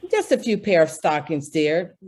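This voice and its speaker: A woman's voice: low voice, lively intonation, moderate pace, a little mellow. An actress playing a gracious, slightly flustered lady friend in a 1940s radio drama, polite and effusive. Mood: grateful, gracious.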